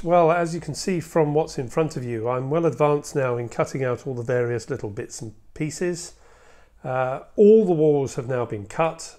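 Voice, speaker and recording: A man's voice: a little high, a little mellow. A man in his sixties giving a casual, hands-on hobby walkthrough, explaining step by step with some hesitation. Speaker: hobby video presenter. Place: indoor hobby room at a workbench.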